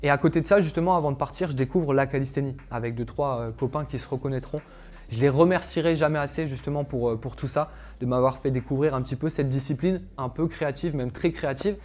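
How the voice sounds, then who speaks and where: A man's voice: mid-range voice, fast, darkish tone. A young man, a calisthenics coach, telling his personal story to camera in a casual, chatty way, with lots of fillers and asides to viewers. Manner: chatty, filler-heavy, addresses viewers directly.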